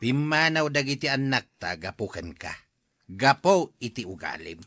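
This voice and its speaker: A man's voice: medium pitch, big pitch swings, normal speed, pushed and bright, no room sound, clean studio sound. A man playing an exasperated, reproachful elderly husband in a radio drama, nagging and questioning as he talks.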